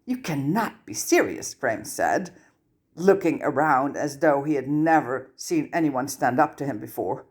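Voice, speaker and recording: A storyteller reading fiction aloud in a mid-range voice. The delivery is scripted and expressive, in the manner of an audiobook narrator. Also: mid-range voice, measured pace. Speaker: fan fiction audiobook reader.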